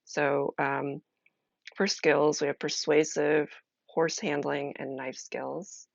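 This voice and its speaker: A woman streamer chatting casually as she explains a solo role-playing game, halting and thinking aloud. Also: low-pitched, level tone, vocal fry, dry recording, dull audio.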